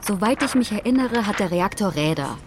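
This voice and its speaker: A woman's voice: medium pitch, lively intonation, fairly quick, background noise, natural voice. An actress playing a determined female astronaut who talks urgently and decisively.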